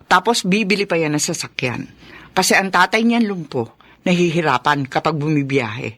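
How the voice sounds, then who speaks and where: A woman's voice: sits low, lively intonation, normal speed, a bit bright, full character voice. Brusque middle-aged mother. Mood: pointed, disapproving. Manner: curt, blunt. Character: brusque, possessive mother of grown children. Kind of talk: Filipino radio drama acting.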